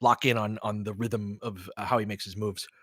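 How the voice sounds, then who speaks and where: A man's voice: fairly low pitch, steady tone, normal speed, no echo, studio quality. A man hosting a casual live sports commentary, talking in an emphatic, conversational way. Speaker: sports show host.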